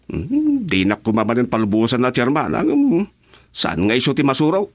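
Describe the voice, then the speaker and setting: Expressive henpecked Ilocano village councilman. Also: medium pitch, moderate pace, no room sound. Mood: evasive. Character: henpecked village councilman making sheepish excuses to his chairman. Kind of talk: Ilocano comedy radio drama acting.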